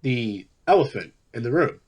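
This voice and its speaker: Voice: mid-range voice, very animated, normal speed, darkish tone. An actor playing a chatty, opinionated political interviewer with leading questions in a conversational radio play. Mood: pointed, lively.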